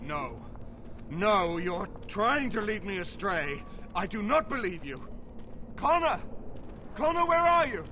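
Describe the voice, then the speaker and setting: A man's voice: sits high, big pitch swings, takes it slowly, pauses often, pushed and bright, a little hiss or hum, exaggerated voice. A man playing a confused, anxious noble father lost and searching, in a fantasy video game. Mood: distrustful, then anxious calling. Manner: anxious, calling out.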